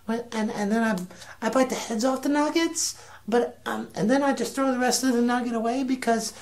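Woman playing a high-voiced rambling little child. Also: a little fast, darkish tone, lightly acted. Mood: innocent rambling. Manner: hesitant, repetitive, meandering. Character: rambling, innocent four-year-old child. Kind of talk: comic radio drama dialogue.

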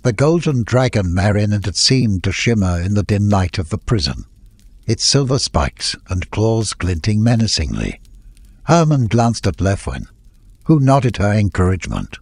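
A man's voice: fairly low pitch, big pitch swings, normal speed, a little mellow, breathy edge, no echo, clean studio sound. A man reading scripted fantasy story narration as an audiobook narrator.

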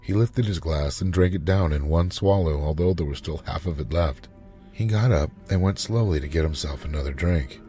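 Man, story narration, very deep pitch, dark soft timbre, faint music behind. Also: moderate pace.

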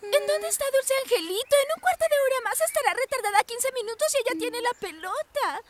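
Extremely high, precise little cartoon girl. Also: animated, fairly quick, very noisy. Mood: impatient. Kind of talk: children's cartoon dub. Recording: loud music behind the voice.

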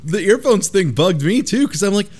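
A man's voice: high-pitched, lively intonation, normal speed, darkish tone. A man co-hosting a casual chat, slangy and joking, with easy swearing. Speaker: podcast co-host. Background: faint music.

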